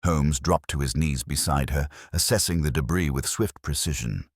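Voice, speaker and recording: A man's voice: rumbling low, moderate pace, slightly rough, no room sound, clean studio sound. A man reading scripted, dramatic mystery narration as an audiobook narrator.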